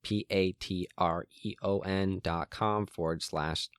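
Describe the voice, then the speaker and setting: A young man hosting a solo podcast from his room, rambling with frequent ums and restarts, his voice a little hoarse and cracking. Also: low voice, steady tone, unhurried, no room sound, clean studio sound. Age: young adult. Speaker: podcast host.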